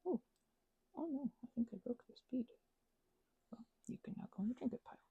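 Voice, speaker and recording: A woman rambling casually as she thinks aloud over a craft, halting and murmuring to herself, with playful asides to listeners. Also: on the high side, lively intonation, slightly rough, no echo, narrow, tinny audio. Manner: halting, drifts into murmurs and self-talk. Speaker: ASMR and audio creator.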